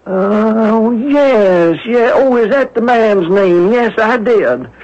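A man playing a flustered older antique dealer in a radio drama, husky and halting, repeating his words. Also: high voice, expressive, darkish tone. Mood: evasive, flustered. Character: flustered, grateful antique dealer, wary and talkative. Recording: dull lo-fi 1970s radio broadcast.

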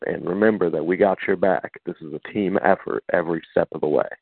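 A man's voice: low voice, fast talker, gravelly, husky, no echo. A man hosting a radio show, speaking supportively in a sincere, slightly formal way. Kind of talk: supportive, measured radio talk. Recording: narrow phone-like radio line.

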